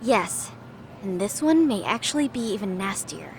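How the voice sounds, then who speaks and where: A woman voicing a confident, articulate, slyly scheming high school club president in a video game, formal and teasing. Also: big pitch swings, moderate pace, slight background noise. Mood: polite, intriguing. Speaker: student club president character. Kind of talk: English game voice acting. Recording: loud music behind the voice. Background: background music.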